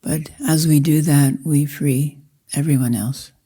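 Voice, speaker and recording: Very dark-voiced, slow woman meditation teacher. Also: deep voice, steady tone, husky. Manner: measured, deliberate, short phrases with long pauses. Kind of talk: guided meditation, slow spoken instruction.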